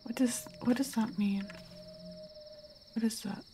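A woman's voice: on the high side, fairly even intonation, unhurried, mellow, slight background noise. A woman casually talking through a video game as she plays, chatty and muttering to herself. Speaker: gaming streamer. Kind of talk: casual let's-play commentary.

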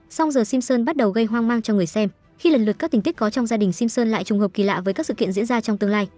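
A woman's voice: on the high side, mellow, no echo. A woman reading scripted entertainment news as a narrator. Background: background music.

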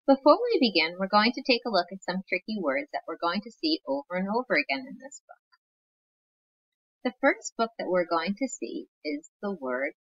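A woman's voice: medium pitch, lo-fi sound. A woman teacher reading a picture book aloud to young children, patiently sounding out words.

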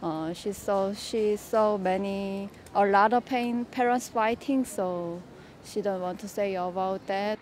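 A young woman, not a native English speaker, giving a halting street interview answer outdoors with many fillers. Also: medium pitch, a little mellow, a little hiss or hum. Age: young adult. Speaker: passer-by interviewee. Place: city park outdoors.

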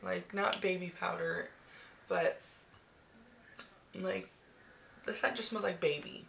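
A young woman in her twenties talks through her makeup favorites in a rambling, casual way, still hoarse from the flu. Her voice sits a little low and breaks into gravelly fry. She is recorded on a webcam in a small bedroom with a faint hiss behind her. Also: steady tone, slow pace, a bit bright. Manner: chatty, swears casually, rambling. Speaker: beauty vlogger. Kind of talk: casual monthly favorites chat. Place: small decorated bedroom, indoors. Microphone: webcam at arm's length.

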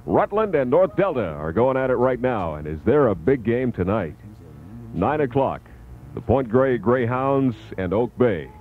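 A man's voice: medium pitch, big pitch swings, a little slow. A man working as a radio disc jockey in the 1970s top-40 style, with punchy, playful patter. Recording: lo-fi 1970s AM radio aircheck, narrow band. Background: loud music.

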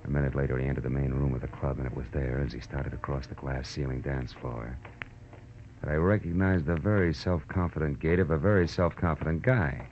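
Male private eye, American, very low register, hard-boiled and self-assured, old-time radio sound with dulled top end. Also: brisk. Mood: tense narration. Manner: hard-boiled, clipped wisecracking. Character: tough, self-confident male private detective narrating his case. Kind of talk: 1940s-50s American radio detective acting and narration. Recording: muffled, noisy old-time radio recording.

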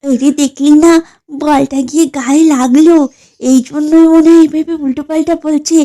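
A woman's voice: sits high, steady tone, moderate pace, dark tone. A woman voicing a cheeky, mischievous child in a comedy cartoon, with a conspiratorial manner. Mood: scheming. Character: cheeky, mischievous neighborhood child playing ball. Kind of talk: exaggerated comedy cartoon acting.

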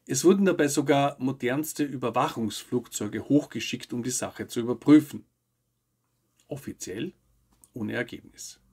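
A man in his fifties presents scripted news commentary to camera. His voice is creaky and husky, at a medium pitch. He speaks in measured, formal sentences, laying out his points in order. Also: a little mellow. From Austria. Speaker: host of a weekly UFO news show.

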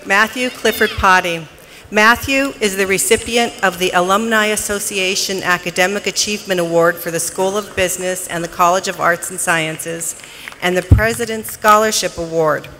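An announcer reading formally at a graduation ceremony in a large arena, with measured, deliberate enunciation. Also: mid-range voice, steady tone, pushed and bright. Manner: measured, deliberate enunciation with pauses between names. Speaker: commencement name announcer. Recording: noisy arena PA with crowd behind. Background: crowd noise.

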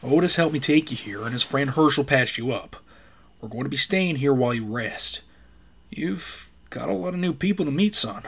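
A man's voice: mid-range voice, expressive, moderate pace, fairly bright tone, husky. A man playing an earnest, protective father in a survival comic dub drama. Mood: tender. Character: protective father, survivor of apocalypse, firm yet tender.

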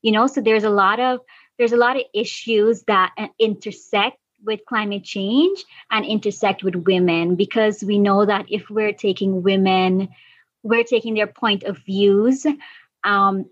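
A woman's voice: medium pitch, fairly even intonation, a little slow, dry recording, highs cut off. A young woman giving conversational answers as a podcast guest. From Guyana. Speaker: podcast guest, environmental activist.

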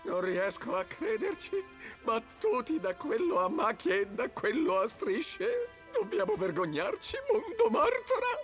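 A man voicing a scheming, bossy marten gang leader in an animated cartoon, with a theatrical, commanding manner. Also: very high-pitched, a little slow, hardly any pauses, full character voice. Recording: loud music behind. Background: loud music.

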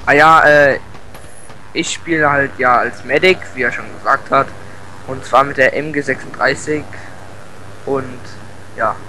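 A man's voice: medium pitch, a little slow, pauses often, pushed and bright, a little hiss or hum, rough recording. A man chatting casually as a co-player in a shooter game, laid-back and teasing, with a slight cold. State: slight cold, suppressing coughs. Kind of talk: casual gaming chat.

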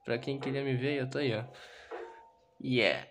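A man's voice: medium pitch, fairly even intonation, measured pace, a bit bright, soft background music. A young man with a Brazilian Portuguese accent, chatting casually and self-deprecatingly while filming a tour of his bedroom on a handheld phone. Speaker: youtuber. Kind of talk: casual room tour vlog. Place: small bedroom with red LED light. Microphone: phone camera held in hand.

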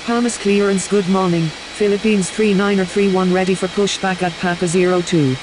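Through noticeable hiss comes a woman acting as an aviation radio voice from a simulation game. She speaks at a middling pitch, matter-of-fact and clipped, in set phrases. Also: fairly even intonation, normal speed, close to an everyday voice. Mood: businesslike. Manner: clipped, formulaic. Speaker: air traffic control and pilot radio voice. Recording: hissy, clearly noisy radio-style audio.

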